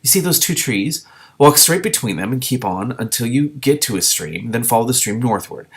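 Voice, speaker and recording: A man voicing a brisk, knowing witch woman in a fairy-tale storybook, instructing in a thoughtful way. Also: medium pitch, lively intonation, natural voice.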